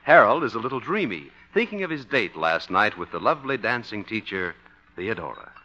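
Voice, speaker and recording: A man's voice: on the low side, big pitch swings, brisk, sharp tone, exaggerated voice. A man announcing and narrating a scripted 1950s radio comedy. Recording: dull old radio recording with music.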